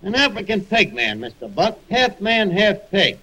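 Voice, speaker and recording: A man's voice: sits high, big pitch swings, normal speed, pushed and bright, exaggerated voice. An actor playing a cocky, bluffing man with streetwise slang in a 1940s radio comedy. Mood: smug showing off. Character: cocky bluffing wisecracking man spinning tall safari tales. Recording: noisy old radio broadcast recording.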